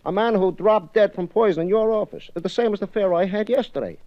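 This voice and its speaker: An actor playing a chatty, rambling cab driver in a 1950s radio drama. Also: male voice, sits high, a little fast, dark tone, no echo, natural voice. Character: fast-talking male cab driver, rambling. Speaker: cab company representative. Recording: dull old radio broadcast.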